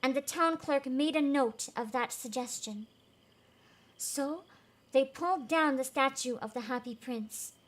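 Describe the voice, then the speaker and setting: Woman, high voice, slow pace, storybook reading, emotive, casual live host. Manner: emotive, giggly, interjects reactions. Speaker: VTuber host reading fairy tales.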